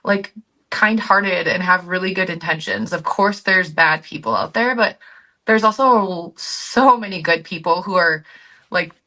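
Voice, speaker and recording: Bright, forceful American woman swapping stories. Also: fairly low pitch, unhurried, poor audio. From United States. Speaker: guest, group travel company founder. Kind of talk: casual conversational storytelling.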